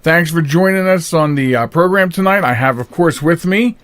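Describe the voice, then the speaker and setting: A man's voice: on the high side, moderate pace, fairly bright tone, no echo. A man hosting a podcast in casual, chatty, rambling and jokey style. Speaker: podcast host. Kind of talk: casual chat.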